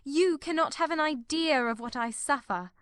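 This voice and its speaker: A woman's voice: sits high, normal speed, a bit bright, no echo, clean studio sound. An actress voicing an impassioned, heartbroken young woman who pleads in sob-laden outbursts in an audiobook drama. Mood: anguished.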